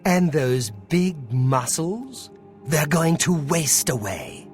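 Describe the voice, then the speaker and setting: Male game prisoner: taunting, spiteful, unhurried, extremely sing-song sneer. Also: a little high, very animated, faint music behind. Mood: mocking. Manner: mocking, drawn-out sneer.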